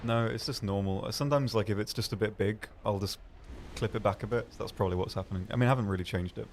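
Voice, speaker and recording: A man with a slightly low voice chats as co-host of a game stream. He talks casually, wandering and hesitating, with a self-deprecating streak. There is faint noise in the background. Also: fast. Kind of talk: casual chat.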